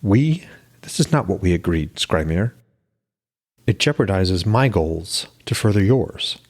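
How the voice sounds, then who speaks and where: A man's voice: low voice, expressive, a little slow, a little mellow. A man voicing an incredulous, scheming male plotter with an insistent, challenging manner in a fantasy audiobook. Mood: indignant.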